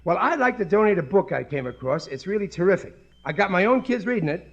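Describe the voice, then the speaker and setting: A man's voice: sits high, animated, fast, dull audio. A man playing a blustering, gullible, eager working-class father in a 1950 radio sitcom, stammering and ungrammatical. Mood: hearty, self-important. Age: middle-aged. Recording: vintage 1950 radio recording.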